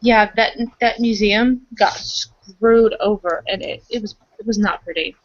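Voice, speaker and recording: A woman's voice: mid-range voice, a little slow. A woman chatting casually in character in a roleplaying game, hesitant and conversational. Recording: dull lo-fi recording.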